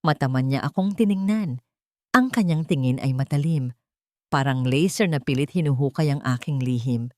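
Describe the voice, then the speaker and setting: A woman narrates a dramatic first-person story in a solemn, measured, emotive way. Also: low-pitched, no echo, clean studio sound.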